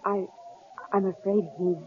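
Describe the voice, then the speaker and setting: An actress playing a frightened young wife in an old radio drama, talking in a breathless, pleading, tremulous way. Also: female voice, medium pitch, little pitch movement, unhurried, close to an everyday voice. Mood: anxious. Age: young adult. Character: frightened young wife trapped in a snowbound cabin. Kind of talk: 1950s-70s radio drama acting. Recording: tinny, lo-fi old radio recording with faint hiss.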